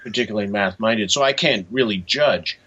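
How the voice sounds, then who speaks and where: A man's voice: lowish voice, a little fast, sharp tone, husky. A middle-aged man answering interview questions as an author, in a matter-of-fact, informative way. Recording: dull, muffled lo-fi line.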